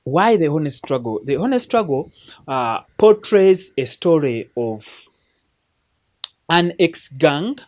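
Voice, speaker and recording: A man's voice: on the high side, big pitch swings, slow pace, darkish tone. A man from Uganda, hosting a film recommendation show in an earnest, preacherly way, often restarting his words. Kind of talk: casual spoken film review.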